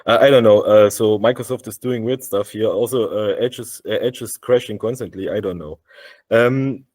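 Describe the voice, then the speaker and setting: A man with a German accent presenting a software demo over a video call, speaking haltingly with many fillers and restarts. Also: lowish voice, dry recording. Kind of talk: live screen-share demo on a video call.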